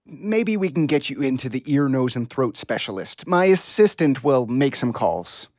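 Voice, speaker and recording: An actor playing a harried but caring middle-aged family doctor, brisk and instructive, in an audio play. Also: male voice, medium pitch, sing-song, darkish tone, no room sound, clean studio sound, close to an everyday voice. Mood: firm, helpful.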